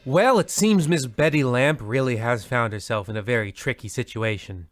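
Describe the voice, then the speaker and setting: A man's voice: mid-range voice, normal speed, lightly acted. A man playing a pompous, melodramatic radio narrator who rambles pedantically, in a parody of old-time radio. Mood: ominous.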